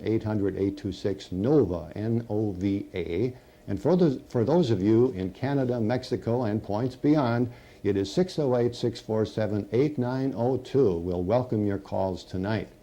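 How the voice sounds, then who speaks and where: A man's voice: fairly low pitch, dark tone. A man hosting a TV program, reading phone numbers and interviewing a guest. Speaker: host.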